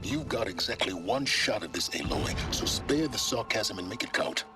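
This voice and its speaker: A man's voice: on the low side, lively intonation, moderate pace, over music, close to an everyday voice. An actor playing a stern, secretive mentor in a video game, terse and impatient as he gives orders. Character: stern, secretive male mentor guiding a warrior remotely.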